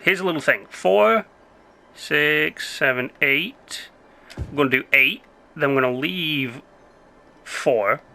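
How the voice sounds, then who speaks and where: A young man giving rambling, casual commentary while playing a city-building game, his voice going hoarse. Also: fairly high pitch, slow pace, pauses often, bright, forceful tone. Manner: chatty, rambling, self-interrupting. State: losing his voice. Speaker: gameplay commentator.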